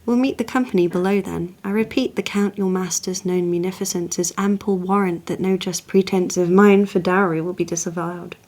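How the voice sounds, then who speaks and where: A woman playing a cold, proud, possessive Renaissance duke in a poetry monologue, speaking in a haughty, measured, hushed and insinuating way. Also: lowish voice, fairly even intonation, natural voice. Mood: polished, imperious. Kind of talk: dramatic monologue, poetry reading.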